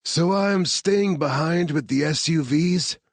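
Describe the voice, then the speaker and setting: A man's voice: fairly high pitch, slow, no echo, pitched above the performer's own voice. A man voicing a frustrated young male tech specialist, raised above his own narrating voice.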